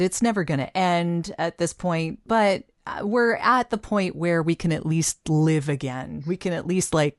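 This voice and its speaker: A woman's voice: on the low side, no room sound. A woman podcast host and voice actor talking conversationally in a casual interview from her home studio, often with a laugh.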